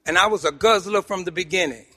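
A man with a New York accent speaks in a high-pitched, forceful voice that rings through a reverberant hall. He tells his own story like a seasoned storyteller, animated and humorous, leaning on pauses and repetition for emphasis. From Harlem, New York City, United States. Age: middle-aged or older. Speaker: speaker at a recovery meeting. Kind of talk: personal testimony told to an audience with humor. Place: meeting hall, speaking to a group. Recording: echoey hall with long reverb.

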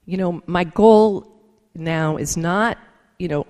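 A woman's voice: on the low side, slow pace, darkish tone. A woman giving an earnest, informal personal testimony to a gathering, thinking aloud as she speaks in a large reverberant room. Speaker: speaker at a recovery meeting. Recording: reverberant hall.

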